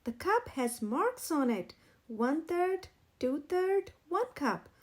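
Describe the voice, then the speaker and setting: Bossy storybook rooster chef played by a woman, high, emphatic, highly animated, easily exasperated. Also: slow, exaggerated voice. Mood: exasperated, firm. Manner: emphatic, instructive. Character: exasperated, commanding male rooster chef, storybook team leader.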